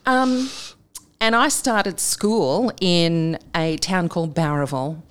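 Low-voiced Australian woman telling stories. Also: slow pace, clean tone. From northern New South Wales, Australia. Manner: candid, storytelling, with frequent ums and self-corrections. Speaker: guest, journalist and broadcaster. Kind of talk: casual conversational interview.

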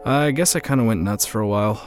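A man's voice: lowish voice, quick pace, lightly acted. A man playing a lonely, heartbroken boyfriend who tells his story in a conversational, confiding way in an audio drama. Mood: lonely, subdued. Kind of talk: first-person narration in an audio drama. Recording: music under the voice.

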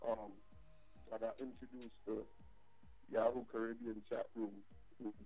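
A man in his forties, born in Trinidad and Tobago, talking by phone as a guest DJ in a casual interview, loud and animated as he tells his story. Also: on the low side, a little slow, soft background music, rough recording. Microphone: phone line.

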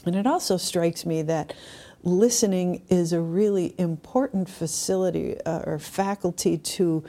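Low, creaky-voiced woman podcast host. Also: a little slow, dark tone. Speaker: podcast host, spiritual director. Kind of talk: conversational interview with reflective asides.

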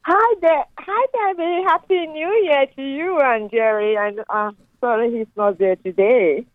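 A woman's voice: high voice, a little slow, no room sound. A middle-aged woman from Nigeria with a Nigerian accent, calling in to a radio show and talking in a rambling way with many fillers. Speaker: caller. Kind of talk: casual phone call. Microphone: phone line. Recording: tinny dry phone line.

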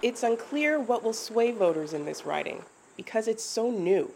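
A woman reporter narrating a news segment in a measured, scripted delivery. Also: on the high side, lively intonation, creaky, darkish tone, slight background noise.

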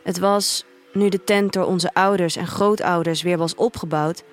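An actress playing an introspective young woman who narrates her life in a dystopian future, in measured, deliberate first-person literary storytelling. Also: on the low side, steady tone, natural voice. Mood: reflective. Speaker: narrator of a radio drama. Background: music.

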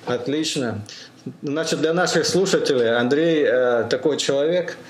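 A man's voice: fairly high pitch, moderate pace. A man hosting a casual interview, speaking informally and prompting his guest with short questions. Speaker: podcast host, interviewer. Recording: roomy echo, faint background noise.

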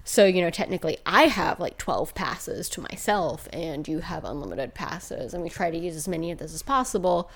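A soft-voiced woman co-hosting a casual movie podcast, recorded in a living room at home. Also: on the low side, lively intonation, moderate pace, few pauses, breathy edge. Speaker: podcast co-host.

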